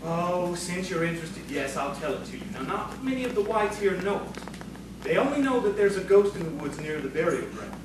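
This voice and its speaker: A man playing a calm, scholarly storyteller in audio theatre, speaking in a measured, knowing, cautioning manner. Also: sits high. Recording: very echoey, distant, noisy room.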